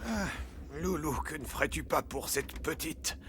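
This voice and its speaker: High-pitched grumpy old grandpa. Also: male voice, a little slow, a bit bright, slight background noise. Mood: grumpy, weary. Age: older. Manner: grumbling, exclamatory. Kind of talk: French animated series acting.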